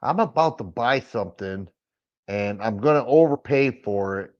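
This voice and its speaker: Middle-aged male stream host, raspy, stammering restarts, average pitch, muffled low-fi sound. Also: expressive, moderate pace. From Michigan. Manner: rambling, with frequent stammered restarts. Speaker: firearms livestream host. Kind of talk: casual livestream chat answering viewers. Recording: dull lo-fi stream audio.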